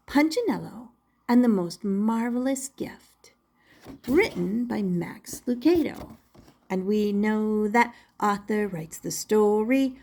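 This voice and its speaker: An older woman reading a children's story expressively, in a deliberate manner suited to young listeners. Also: a little high, darkish tone. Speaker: storybook reader.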